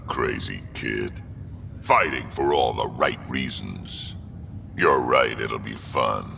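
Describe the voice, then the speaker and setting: An actor playing a gruff old alien warrior, blunt and drily amused, with a gravelly rumble, in a video game. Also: male voice, expressive, takes it slowly, full character voice. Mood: wry, resolute. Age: older. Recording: long reverb, clear ambient noise.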